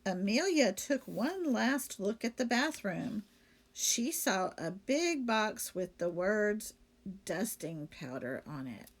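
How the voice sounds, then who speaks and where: A woman teacher reading a storybook aloud to children expressively, pausing to put questions to her young listeners. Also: medium pitch.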